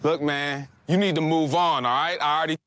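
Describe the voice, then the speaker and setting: A man's voice: a little high, fairly even intonation, a little fast, fairly bright tone, no room sound, pitched above the performer's own voice, lightly acted. A man voicing a confrontational southern man who warns someone off, with a Southern US accent and drawling street-tough talk. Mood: gruff, warning.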